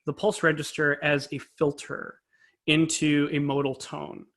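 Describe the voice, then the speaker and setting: A man's voice: a little high, flat delivery, a little slow, a bit bright. A man being interviewed as an expert, giving an informal, analytical explanation and choosing his wording carefully. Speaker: interview guest, composer and conductor.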